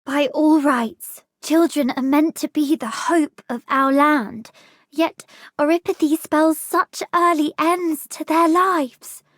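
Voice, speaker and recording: Gentle, idealistic young woman for a game: high, unhurried, studio-dry. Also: fairly even intonation, dry recording. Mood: sorrowful resolve.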